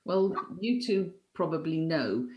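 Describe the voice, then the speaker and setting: A woman from Wales with a faint Welsh accent, co-hosting a podcast and telling lively anecdotes in casual chat. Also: low voice, measured pace, lo-fi sound. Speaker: podcast co-host.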